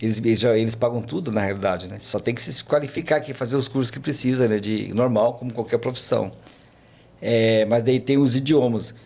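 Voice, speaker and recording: Fast-talking man telling stories. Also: medium pitch, vocal fry, darkish tone. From Brazil. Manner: rambling, many fillers and restarts. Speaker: podcast guest, tour guide. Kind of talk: casual chat, storytelling.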